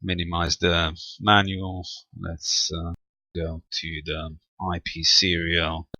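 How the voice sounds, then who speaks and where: Male hobbyist demonstrator, low voice with a bright edge, step-by-step explanations with hesitant pauses. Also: little pitch movement, no room sound, lo-fi sound. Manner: halting, methodical, explanatory. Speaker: amateur radio hobbyist presenting equipment. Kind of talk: unscripted screen-recorded technical walkthrough.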